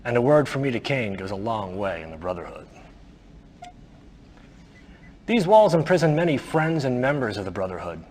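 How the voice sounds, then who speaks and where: An actor playing a smug, menacing militant cult lieutenant who gives orders in a theatrical, condescending way in a video game cutscene. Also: male voice, mid-range voice, very animated, fast talker, a little mellow, a little hiss or hum, lightly acted. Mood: smug, commanding. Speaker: villain briefing officer.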